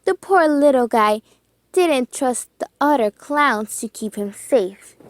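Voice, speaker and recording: A woman reading a children's storybook aloud with expressive, deliberate phrasing and dramatic emphasis. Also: high-pitched, dry recording.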